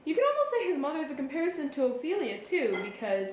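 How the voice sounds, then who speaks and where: A woman's voice: high voice, very animated, fast. A woman acting as a patient, knowledgeable explainer, giving casual literary commentary in a conversational way. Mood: thoughtful. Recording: roomy echo, faint background noise.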